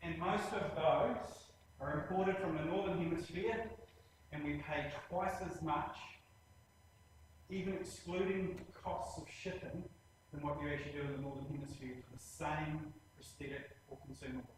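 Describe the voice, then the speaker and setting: A man with a New Zealand accent giving a presentation on hospital plans, speaking plainly with many pauses and a habit of saying "actually". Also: fairly high pitch, fairly even intonation, far from the mic, noisy background. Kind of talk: presentation in a lecture series. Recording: very echoey room, voice from afar, faint ambience.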